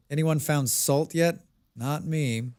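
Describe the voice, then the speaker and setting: A man's voice: on the high side, very slow, a little mellow. An American man chatting casually with his viewers while playing a game on a live stream, reading and answering their comments. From United States.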